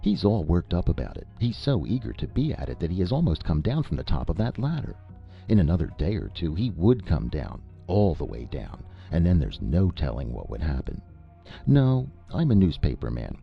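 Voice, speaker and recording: A man's voice: low voice, wildly swooping pitch, normal speed, vocal fry, slightly rough, voice pushed up, heavily acted. A man playing a breezy cheeky young man. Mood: cheerful. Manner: breezy, teasing. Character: cheerful cheeky young newspaperman. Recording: lo-fi with faint music.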